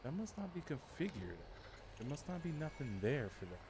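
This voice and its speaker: A man livestreaming a game in a casual, halting way, thinking aloud and giving short voice commands. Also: on the high side, very animated, noisy background.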